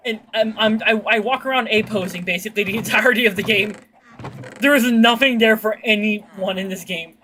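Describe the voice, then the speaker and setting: Girl streamer just chatting, bright punchy voice, slangy n sweary storytelling, lil bit of noise in the bg. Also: brisk. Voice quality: fairly deep-sounding for a woman. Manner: slangy, sweary, animated retelling with acted-out quotes. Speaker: streamer chatting with viewers while gaming. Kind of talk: casual chat, rambling storytelling.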